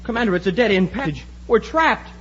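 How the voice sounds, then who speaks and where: An actor playing a frightened, excitable young male space cadet in an old radio adventure serial, exclaiming in alarm. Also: sits high, a little fast. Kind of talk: 1950s radio serial acting. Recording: very noisy, muffled old radio recording.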